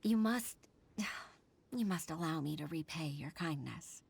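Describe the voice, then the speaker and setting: A woman's voice: low voice, lively intonation, slow pace, creaky, darkish tone, a little hiss or hum, exaggerated voice. A woman voicing an earnest middle-aged woman secretary and mother in a video game, who talks hesitantly and sighs often. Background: game music.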